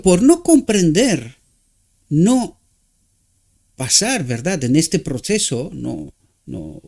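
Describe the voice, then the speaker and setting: Un hombre nacido en Rumanía, de lengua materna rumana, predica una reflexión cristiana en español con acento rumano; titubea buscando palabras y remata sus frases con "¿verdad?". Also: male voice, fairly high pitch, darkish tone. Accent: Romanian. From Romania. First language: Romanian. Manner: halting, searches for words, frequent "¿verdad?" tags. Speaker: preacher.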